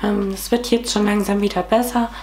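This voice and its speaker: Young woman, twenties, hoarse with a cold, casual beauty vlogger, faint room hiss behind. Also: mid-range voice, flat delivery, a little fast, a little mellow. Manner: chatty, many fillers, talks while applying makeup. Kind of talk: casual makeup tutorial chat. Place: small room at home, indoors.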